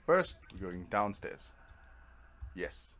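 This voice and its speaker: A man's voice: low voice, very animated, takes it slowly, a bit bright. A man giving casual let's play commentary, rambling to himself in a wry, self-deprecating way. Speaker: gaming video host.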